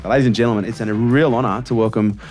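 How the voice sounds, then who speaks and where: A man's voice: on the low side, fairly even intonation, a little mellow, soft background music. An Australian man hosting a podcast interview, chatting keenly with his guest. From Brisbane, Australia. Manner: enthusiastic, quick chat with many agreements. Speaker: podcast host.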